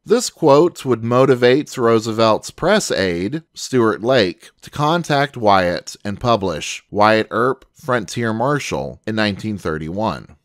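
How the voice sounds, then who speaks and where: A man's voice: on the low side, animated, slow, hardly any pauses, dry recording, clean studio sound. A man delivering scripted historical documentary narration as the narrator.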